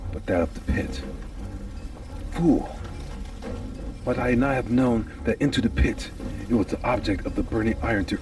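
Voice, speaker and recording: A man's voice: low-pitched, recorded from a distance. A man giving a theatrical, anguished and intense first-person reading of a gothic horror story.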